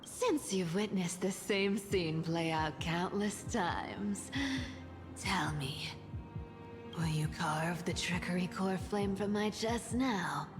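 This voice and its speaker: A woman's voice: lowish voice, takes it slowly. An actress playing a cunning, defiant young woman thief in a video game, with poised, sardonic, dramatic delivery. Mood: cool defiance. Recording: music behind the voice.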